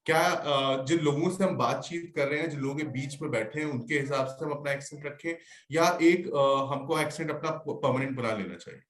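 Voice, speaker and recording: Male Q&A host, fairly high voice, polite and courteous, echoey room. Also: fairly quick, hardly any pauses, a bit bright. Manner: polite, relays audience questions. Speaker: session host. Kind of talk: moderating a Q&A session.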